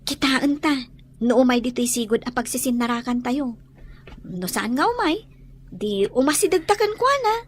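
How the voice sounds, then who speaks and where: A woman's voice: high voice, animated, normal speed, slightly rough. A woman playing a curious, teasing schoolgirl in a radio drama. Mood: curious concern. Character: teasing, curious schoolgirl among close friends.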